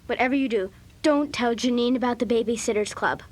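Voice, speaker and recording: A woman's voice: fairly high pitch, a little fast, close to an everyday voice. A woman playing a breezy, confident American schoolgirl in a children's audio drama, chatty and emphatic. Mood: chatty, confiding.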